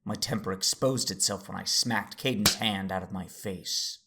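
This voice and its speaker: An actor playing a steady, weary Jedi father who narrates his own story in an earnest, measured audio drama style. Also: deep voice, gravelly, heavy background noise. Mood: strained, restrained. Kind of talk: first-person dramatic narration and acting.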